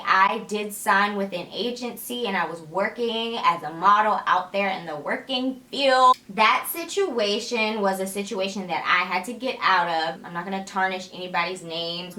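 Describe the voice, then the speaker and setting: Young woman vlogger, bright and chatty, a touch raspy from tiredness, recorded in an echoey room. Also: mid-range voice. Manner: energetic, chatty, laughs often, sassy asides. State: tired, slightly raspy voice late at night. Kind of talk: casual chat to camera.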